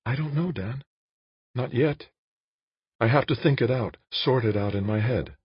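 Audiobook role: anguished woman in love, earnest, raised voice pitch, slightly husky male reader. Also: measured pace, no room sound, dull audio. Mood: pleading. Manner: earnest, pleading. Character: adult woman politician, anguished, torn, pleading lover. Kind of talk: audiobook character dialogue.

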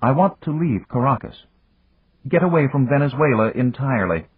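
A man's voice: medium pitch, animated, normal speed, slightly rough, voice pushed up. A man voicing a dignified, eager young Venezuelan woman in an audiobook, speaking politely and formally with earnest spirit. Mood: earnest, indignant. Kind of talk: audiobook character voice. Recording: echoey room, muffled narrow-band sound.

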